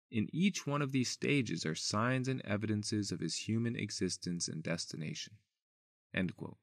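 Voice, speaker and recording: A man reading a written article aloud as scripted narration, in a measured, deliberate and formal manner. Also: fairly low pitch, dry recording, clean studio sound. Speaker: article reader.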